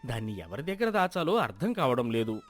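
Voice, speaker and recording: A man's voice: medium pitch, sing-song, brisk, lightly acted. A man playing an earnest, trusting, hardworking villager who speaks respectfully and plainly in a moral story.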